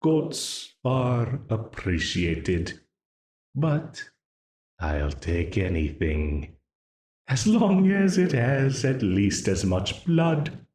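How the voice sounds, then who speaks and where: A smooth-voiced archdemon, played by a man, drawls his terms at a crawl and laughs at his victim. His pitch swoops widely between lines as he savors each threat. The room around him rings with echo. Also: very animated, a little mellow. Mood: amused menace. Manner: slow, leisurely menace broken by frequent chuckles. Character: mocking, amused male archdemon binding a ruler to a contract. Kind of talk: audio roleplay. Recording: roomy echo.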